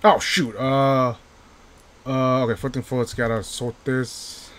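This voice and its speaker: A man's voice: medium pitch, level tone, slow pace, fairly bright tone. A man giving casual let's-play commentary, chatty and self-mocking, repeating himself when things get tense. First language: not English, by his own joke. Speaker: gaming YouTuber.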